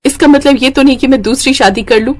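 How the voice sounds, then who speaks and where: A distressed young wife in a cartoon moral tale, acted out by a woman narrator. Her voice sits fairly high and pleads earnestly with those around her. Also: fast talker. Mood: defensive. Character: young married daughter-in-law, distressed and pleading. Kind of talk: cartoon moral-story acting.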